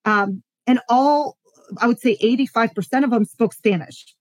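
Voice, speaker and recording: A middle-aged woman from Poland, a podcast guest, telling her story in a casual, animated way and acting out quoted lines. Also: on the high side, normal speed, no room sound. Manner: animated storyteller, acts out quotes, laughs often.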